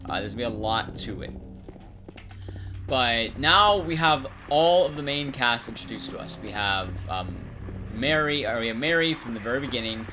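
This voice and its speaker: Animated male game commentator. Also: medium pitch, normal speed, faint music behind. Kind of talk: casual analytical commentary.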